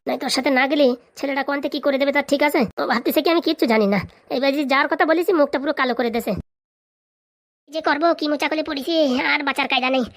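A woman voicing a harried, scheming young man in a comic cartoon, wheedling and excitable. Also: high voice, rapid-fire, close to an everyday voice. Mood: worried, fretful.

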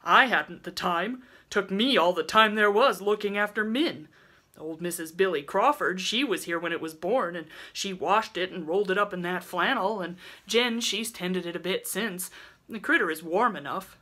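A woman's voice: fairly low pitch, moderate pace, sharp tone. Woman putting on a coarse, callous old country woman. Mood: truculent. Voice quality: grunting. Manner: rustic dialect, blunt and truculent.

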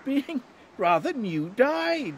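High-voiced, rambling old courtier. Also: male voice, big pitch swings, slow pace, a little hiss or hum, heavily acted. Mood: cheerful wonder. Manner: garrulous, hesitant. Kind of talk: Shakespearean audio play acting.

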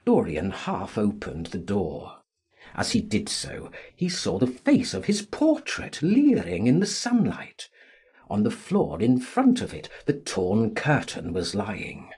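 A man narrating a classic novel as a dramatic audiobook, theatrical and deliberate in his delivery. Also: fairly low pitch, wildly swooping pitch, a little mellow, husky.

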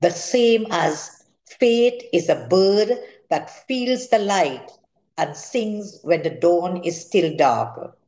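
Slow-reading older Trinidadian woman. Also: fairly low pitch, expressive, darkish tone. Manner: measured, formal, careful reading. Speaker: author giving a literary talk. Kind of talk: prepared speech read aloud. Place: indoor room at home, seated at a table.

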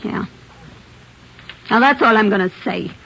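A woman's voice: medium pitch, measured pace, vocal fry, pushed and bright, slightly rough, heavily acted. An actress playing a defiant young woman suspected of murder, curt and snapping back, in a 1950s radio drama. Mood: defiant, sullen. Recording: dull old radio broadcast.

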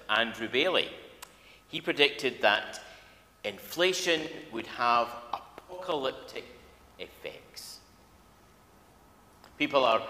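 Lively older preacher in a reverberant church. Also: male voice, mid-range voice. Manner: wry, informal, halting phrases. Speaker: minister. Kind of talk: conversational sermon. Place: large church, at a lectern. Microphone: gooseneck lectern microphone. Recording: echoey church hall.

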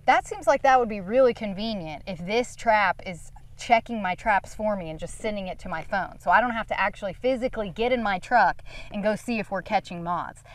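A woman in her thirties hosts an agricultural explainer from an open field. Her voice sits at a middle pitch as she explains and asks questions into a clip-on mic. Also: no echo. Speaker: host, agricultural technical service manager. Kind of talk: explanatory field interview for a company video. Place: outdoors in an open peanut field. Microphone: clip-on lavalier.

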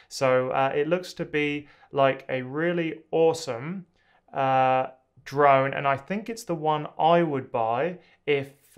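A man in his thirties casually reviewing drones to camera from a small home studio, relaxed and enthusiastic, with frequent ums and uhs. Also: medium pitch, smooth.

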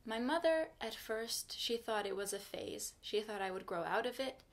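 A woman's voice: on the high side, lively intonation, normal speed. A young woman from Turkey, a native Turkish speaker, talking frankly to the camera from her small bedroom in a casual vlog. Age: young adult. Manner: earnest, frank, advice-giving. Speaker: vlogger. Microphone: camera in front at desk distance.

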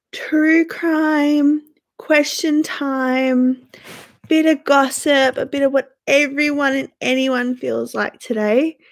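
A young Australian woman chats on a bedroom webcam livestream, greeting viewers by name in a bright, gushing way. Her voice sits high and carries a gravelly fry, slowed by a stuffy sore throat. She talks at an easy, drawn-out pace. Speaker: livestream host. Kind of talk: casual live-stream chat with viewers. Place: small bedroom indoors.

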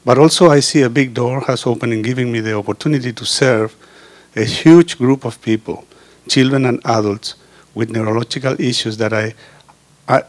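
Earnest man. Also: mid-range voice. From Chile. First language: Spanish. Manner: earnest, halting, with pauses and restarts. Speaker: osteopath giving a testimonial. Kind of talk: reading a prepared personal speech. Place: indoor meeting room. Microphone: handheld microphone.

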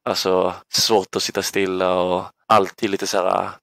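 Low, creaky-voiced young man. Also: little pitch movement, fairly bright tone, dry recording. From Ängelholm, southern Sweden. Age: 20s. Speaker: podcast guest, basketball player. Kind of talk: casual reminiscing interview.

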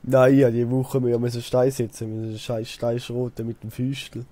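A man's voice: medium pitch, completely flat delivery, normal speed, warm tone. A young man with a Swiss German accent chatting casually over a game, telling stories in a rambling, repetitive way. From Switzerland. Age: young adult. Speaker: let's player, apprentice builder. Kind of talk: casual chat while gaming.

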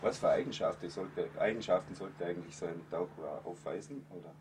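A male interviewer with a very deep voice who asks short, plain questions. Clear background noise runs under his speech. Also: a little fast. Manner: brief questions and short acknowledgments.

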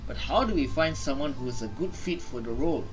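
A man's voice: mid-range voice, animated, fast, rough recording. A young man streaming a game, reacting casually and reading dialogue choices aloud. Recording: clear background noise, game audio.

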